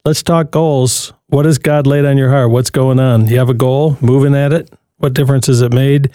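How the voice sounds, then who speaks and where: Male radio host, mellow dry studio voice, preachy and urging. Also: medium pitch, fairly even intonation, moderate pace, no room sound. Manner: preachy, urging listeners to call.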